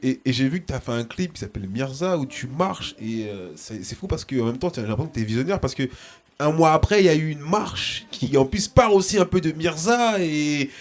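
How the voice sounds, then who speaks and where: A man interviewing a musician, asking casual questions in a halting way with many restarts. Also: on the high side, lively intonation.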